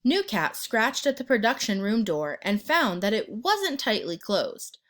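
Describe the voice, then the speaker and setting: A woman narrating a children's picture book as an expressive read-aloud storyteller. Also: a little high. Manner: pauses to ask the listener questions and point out pictures.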